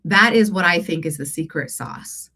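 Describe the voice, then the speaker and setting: A woman hosting a casual live coaching Q&A, congested from allergies, talking conversationally with hesitant pauses and check-ins with her listeners. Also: fairly low pitch, lively intonation, sharp tone. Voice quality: stuffy, congested nose. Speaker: host and life and business coach.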